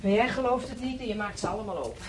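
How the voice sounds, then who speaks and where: Actress as a restless, distrustful wife, noisy radio recording. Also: female voice, mid-range voice, lively intonation, rapid-fire, lightly acted. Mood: scornful. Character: restless, thirsty wife scavenging an abandoned house, distrustful and wistful. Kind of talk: Dutch radio play acting.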